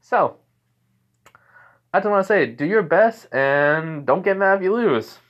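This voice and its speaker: A man's voice: sits high, expressive, measured pace, highs cut off, voice pushed up. A man playing a cheerful, cocky young superhero student giving a breezy, hesitant speech in a fan story. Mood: cheerful, encouraging. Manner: breezy, friendly boasting with hesitations.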